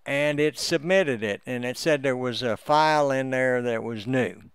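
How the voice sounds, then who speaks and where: A man giving a casual, step-by-step tutorial as he walks through software on his screen, in an unhurried way. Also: mid-range voice, smooth, dry recording, studio quality. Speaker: amateur radio hobbyist giving a software tutorial. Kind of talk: casual screen-recorded walkthrough.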